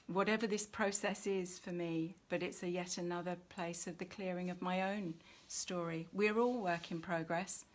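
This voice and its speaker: Slow, low-pitched woman giving a spiritual reading. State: low in energy. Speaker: spiritual reader and host. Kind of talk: spiritual oracle reading, unscripted monologue.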